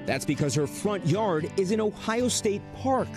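A man's voice: high-pitched, lively intonation, a little slow, over music. A young adult man working as a news correspondent, giving scripted narration and reporting on location in an upbeat way.

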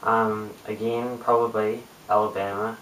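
Mumbling young New Zealand man, flat pitch. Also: a little slow. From Christchurch, New Zealand. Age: young adult, late teens. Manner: low-key, mumbling, hesitant. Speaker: amateur video blogger. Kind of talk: casual chat to camera. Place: small bare room indoors. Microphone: webcam at a short distance. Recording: roomy, distant webcam mic with hiss.

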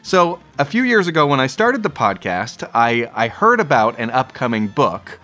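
A man's voice: medium pitch, big pitch swings, normal speed, bright, forceful tone. A man hosting a podcast, talking in a conversational introductory style. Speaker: podcast host.

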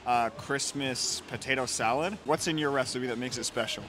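A young man interviewing a guest in a cafe, asking quick, friendly questions. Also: medium pitch. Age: young adult. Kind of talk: casual street interview. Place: indoor cafe. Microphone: handheld microphone. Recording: music playing behind.

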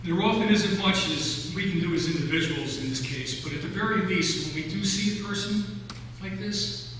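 A man's voice: sits high, moderate pace, a bit bright. Older male preacher in a very echoey church. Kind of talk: sermon, telling stories from notes. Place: large church sanctuary, at the pulpit. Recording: echoey church hall from far off, hum.

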